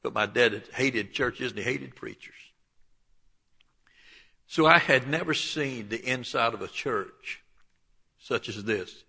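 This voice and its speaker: A man in his seventies with a Southern Alabama accent, preaching and telling his life story in a folksy way, often clearing his throat. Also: mid-range voice, slow pace, long pauses, bright, forceful tone. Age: 70s. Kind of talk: sermon with personal testimony. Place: church.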